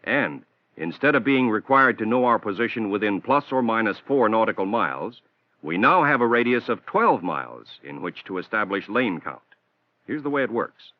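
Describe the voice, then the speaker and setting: An American man reading a scripted instructional narration for a training film in a measured, formal, explanatory manner, on a 1960s film soundtrack. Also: fairly low pitch, sing-song, no room sound. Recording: dull, band-limited 1960s film soundtrack.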